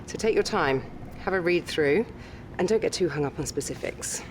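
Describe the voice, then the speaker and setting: An actress playing a composed, businesslike sports agent in a game cutscene, measured and reassuring as she advises a client. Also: on the low side, lively intonation, a little hiss or hum, natural voice. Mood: composed, advising. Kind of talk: scripted game cutscene dialogue.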